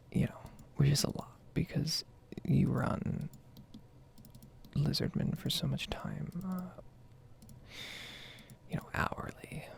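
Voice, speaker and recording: A woman speaking in a soft, breathy whisper, rambling casually in an ASMR style as she comments on her own gameplay. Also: a bass voice. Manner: casual, meandering. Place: basement at home.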